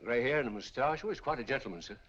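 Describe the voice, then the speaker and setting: A chatty, deferential auctioneer with a husky voice. He talks quickly and eagerly, with a lively lilt. The sound is dull and lo-fi, like an old 1940s film. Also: medium pitch. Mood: obliging. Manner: deferential, says sir. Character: obliging, chatty auctioneer, a man.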